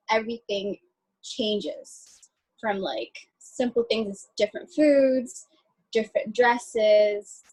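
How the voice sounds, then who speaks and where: Husky young woman from Ethiopia. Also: on the high side, darkish tone, low-quality recording. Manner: friendly, loose, many "like" fillers. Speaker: guest. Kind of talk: casual video call chat.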